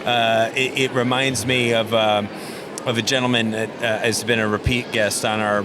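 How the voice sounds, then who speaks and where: A man hosting a podcast in casual conversation, halting and repeating words as he thinks. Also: mid-range voice, flat delivery. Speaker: podcast host. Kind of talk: casual interview chat. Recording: clear background noise.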